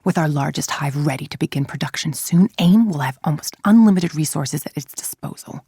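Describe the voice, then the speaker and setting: A woman playing a cold, calculating villain scientist-executive in a video game, speaking in a measured, imperious, menacing way with a husky, creaky voice. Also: deep voice, mellow, dry recording, clean studio sound. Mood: menacing, confident. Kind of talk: scripted dramatic video game monologue.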